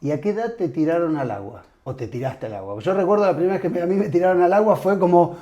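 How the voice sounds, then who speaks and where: A man's voice: high voice, lively intonation, fast, highs cut off, crowd noise. A man, hosting an interview, who draws out his introductions emphatically and asks probing follow-up questions. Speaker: podcast host.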